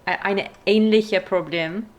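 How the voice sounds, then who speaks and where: A woman with a foreign accent chatting casually in a language that is not her own, pausing to search for words. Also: medium pitch, fairly even intonation. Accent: non-native, foreign-accented German. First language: not German; speaks it as a foreign language. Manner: halting, pauses to search for words. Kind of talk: casual language-practice chat.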